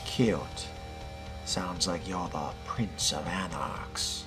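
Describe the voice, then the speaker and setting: Casting: male player as inquisitive young vampire newcomer in a game, very low and drawling slowly, cool and low-key. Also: over music. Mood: dry, curious. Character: laid-back, curious male fledgling vampire newcomer. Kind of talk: role-play of a video game character.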